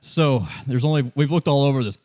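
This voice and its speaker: A man hosting as game master, narrating a story in a halting, conversational way and repeating words. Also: medium pitch, darkish tone. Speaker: game master and podcast host. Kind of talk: casual improvised narration of a tabletop-style story.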